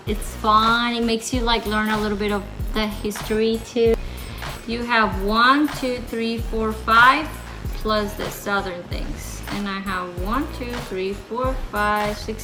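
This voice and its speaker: High-voiced Colombian woman, unhurried vlogger. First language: Spanish. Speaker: vlog host. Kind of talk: casual chat to viewers.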